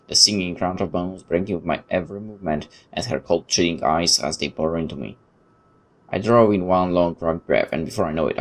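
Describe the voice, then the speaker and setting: A man reading horror game text aloud as a video host, theatrical and expressive, with informal reactions. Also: low-pitched. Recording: noticeable background noise.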